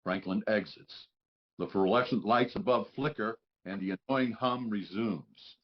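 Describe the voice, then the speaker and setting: A man's voice: lowish voice, measured pace, lo-fi sound, a little hiss or hum. A man reading stage directions as scripted narration for a live table read.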